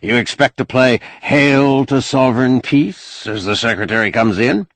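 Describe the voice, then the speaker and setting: A man's voice: medium pitch, normal speed, no echo, voice pushed up, natural voice. A man voicing a blunt, folksy old man who bargains shrewdly and sardonically in an audiobook novel. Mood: brisk, demanding. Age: older. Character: crusty, shrewd old man, bossy, wry negotiator.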